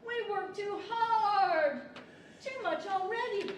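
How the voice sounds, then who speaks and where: Very high-pitched, whiny horse. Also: female voice, slow pace. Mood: wailing. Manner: whiny, exaggerated. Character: put-upon, complaining working horse. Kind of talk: 1940s-style radio theater acting. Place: large library event room, live stage. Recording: very echoey, distant live hall, faint noise.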